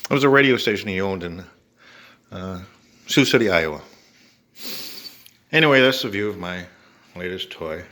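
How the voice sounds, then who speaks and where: An older man with an American accent giving a casual, halting show-and-tell narration in short phrases. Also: deep voice, animated, pushed and bright. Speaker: home video maker showing an antique radio.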